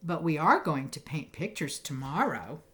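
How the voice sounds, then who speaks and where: A woman's voice: low-pitched, lively intonation, normal speed, fairly bright tone, a little hiss or hum. A woman voicing a female kindergarten teacher who explains things to children in a storybook. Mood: explaining. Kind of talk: storybook character voice.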